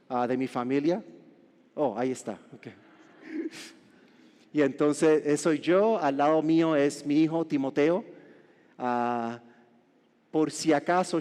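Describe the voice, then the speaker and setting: A Puerto Rican man in his forties, a pastor preaching a sermon with joking asides and halting restarts. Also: medium pitch, a little mellow. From Puerto Rico. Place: large church hall. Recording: reverberant church hall.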